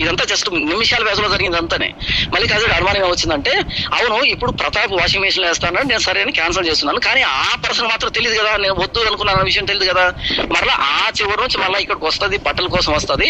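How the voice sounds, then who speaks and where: A noisy call recording carries a man's fairly high voice. He talks very quickly, explaining events like a casual storyteller. He repeats phrases for emphasis and voices both sides of conversations. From Kadapa, Andhra Pradesh, India. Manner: animated explaining, acts out reported dialogue, repeats phrases for emphasis. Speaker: pastor.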